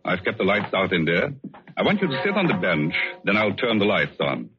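An actor playing a vengeful, obsessed painter feigning tenderness, in measured, hesitant 1940s radio suspense style. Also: male voice, sits low, sing-song. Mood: controlled, courteous. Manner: measured, theatrical, hesitant pauses. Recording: dull old radio recording, music behind.

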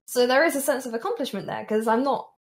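A woman's voice: on the high side, fast. Chatty young woman guest. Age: young adult. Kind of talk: casual stream chat.

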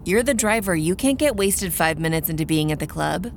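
A woman acting a brooding, cautious female vampire initiate in an audio drama, with a dry, guarded, sardonic manner and a gravelly voice. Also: lowish voice, expressive, fairly quick, slight background noise. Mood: dry, teasing. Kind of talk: audio drama dialogue and first-person narration.

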